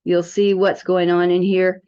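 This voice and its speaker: A woman's voice: fairly low pitch, flat delivery, a little slow, mellow, clear, resonant tone. A woman giving an informal, rambling product demo as an alternative health promoter, chatting directly to the viewers. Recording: dull lo-fi audio.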